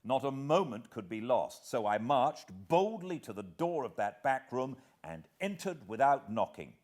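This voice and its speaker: A British man narrating a literary audiobook in a measured, expressive way with dramatic pauses. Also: medium pitch. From Britain.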